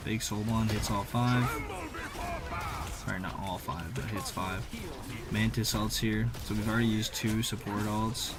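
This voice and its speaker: A man's voice: fairly low pitch, flat delivery, measured pace. Casual gamer guy commentating over music. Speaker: gaming video creator.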